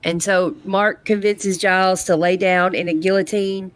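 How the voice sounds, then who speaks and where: A woman's voice: medium pitch, steady tone, a little slow, no echo. A woman co-hosting a podcast, casually recapping and chatting about a show. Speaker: podcast co-host. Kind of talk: casual chat, retelling episode.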